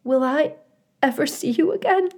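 A woman's voice: high-pitched, animated, moderate pace, darkish tone, pitched above the performer's own voice. A woman voicing a young male goose, a tearful, loving and pleading son in a children's storybook. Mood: pleading, sad. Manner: sniffling, pleading.

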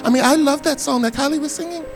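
An older man, a pastor preaching a sermon, his voice hoarse, swinging between loud bursts and soft asides. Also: very high voice, fast talker, over music.

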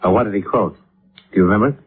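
A cool, hard-boiled American investigator in an old radio detective show. His voice is fairly low and husky, his delivery matter-of-fact. The sound is narrow and muffled, like an old broadcast. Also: quick pace. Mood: probing. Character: probing, terse male insurance investigator, hard-boiled and cool. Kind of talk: 1950s radio detective acting. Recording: muffled, phone-like vintage radio recording.

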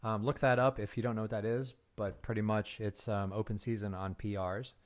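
Male podcast co-host, lowish voice, relaxed and jokey. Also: brisk. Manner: easygoing, joking, filler-heavy. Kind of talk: casual chat.

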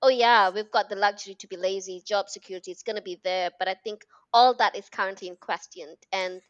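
An energetic woman from Malaysia with a mixed British and American accent, chatting casually and expressively as a podcast guest. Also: medium pitch, fairly quick, no room sound, highs cut off. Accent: international mix of British and American. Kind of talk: casual conversational chat.

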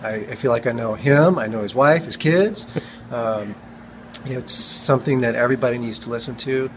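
A man's voice: medium pitch, moderate pace. An American man giving a casual, unscripted testimonial as a speech therapist. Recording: noisy event background ambience.

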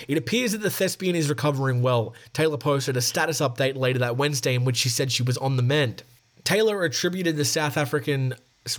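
A man hosting a podcast, reading a news article aloud with wry, sarcastic asides and frequent self-corrections. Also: mid-range voice. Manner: wry, sarcastic running commentary. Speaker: podcast host.

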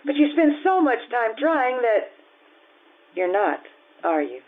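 An actress playing a blunt, warm-hearted Italian innkeeper in an old-time radio drama, earthy and frank in manner. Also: female voice, on the high side, very animated, slow pace, narrow, tinny audio. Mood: frank, teasing.

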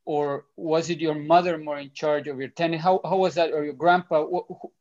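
A male interviewer with a somewhat high voice and asks his questions haltingly, stumbling and restarting his words. The recording sounds dull, with the top end cut off. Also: fairly even intonation, a little mellow.

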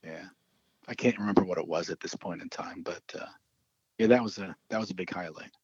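A man's voice: sits low, brisk, a little mellow, slightly rough, dry recording, lo-fi sound. A man, a singer-songwriter guest, chatting casually in an interview, often stammering and restarting. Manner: hesitant, stammers and laughs often.

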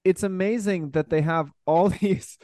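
A man's voice: high-pitched, a little slow, dark tone, no echo, clean studio sound. A man co-hosting a podcast, chatting casually and jokingly. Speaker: podcast host.

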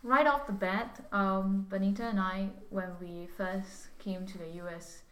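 Singaporean woman guest, mid pitch, measured and explanatory, echoey room. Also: fairly even intonation. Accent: Singaporean English. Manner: thoughtful, explains carefully with examples. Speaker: pastor and seminary student. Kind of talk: reflective conversational podcast guest.

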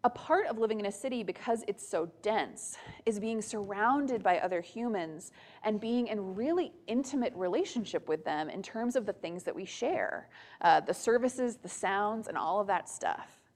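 A woman giving a conversational sermon to her church, talking in a chatty way with frequent "um"s, "right?" tags and soft laughs. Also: mid-range voice, expressive, moderate pace. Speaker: preacher.

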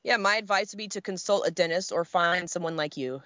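A woman's voice: on the low side, a little fast, fairly bright tone, dry recording. A woman in her late twenties, an improviser guesting on a podcast, chatting casually in a playful, joking way. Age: 20s. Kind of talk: casual comedic chat. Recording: dull lo-fi podcast audio.

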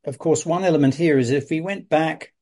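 Mellow-voiced man interviewer. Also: fairly high pitch, brisk. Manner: articulate, discursive, academic. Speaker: interviewer host. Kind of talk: conversational interview.

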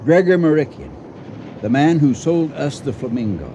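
A man's voice: a little high, expressive, normal speed, warm tone, a little hiss or hum. Grave, urgent man, muffled recording. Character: shaken, urgent yacht owner intent on justice. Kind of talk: radio drama acting.

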